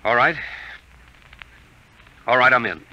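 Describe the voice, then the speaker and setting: A man's voice: medium pitch, lively intonation, slow, bright, forceful tone, highs cut off, a little hiss or hum, heavily acted. An actor playing an earnest, skeptical young American man who narrates his story in emphatic 1950s radio drama style. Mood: uneasy, resigned. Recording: old 1950 radio recording, music behind.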